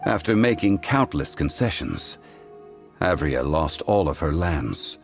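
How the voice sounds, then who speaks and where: An actor playing a calm, ancient man bound by contracts, speaking in a measured, formal, grave manner. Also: a bass voice, vocal fry, faint music behind, heavily acted. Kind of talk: game voice acting.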